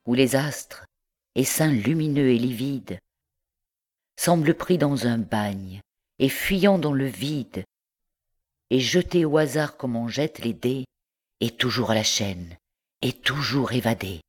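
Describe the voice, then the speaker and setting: A woman reciting literary poetry in measured, deliberate phrases broken by pauses. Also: rumbling low, lively intonation, darkish tone. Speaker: poetry reader.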